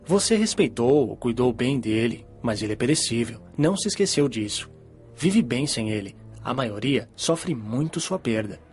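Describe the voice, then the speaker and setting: Calm male spirit guide over music, acted out. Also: mid-range voice, normal speed, natural voice. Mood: calm, reassuring. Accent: Brazilian. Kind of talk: dramatized audiobook dialogue.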